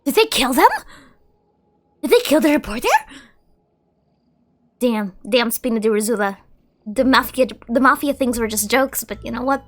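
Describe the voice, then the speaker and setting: Female streamer, casual gameplay reactions, fairly high and lively, unhurried. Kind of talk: casual let's play commentary.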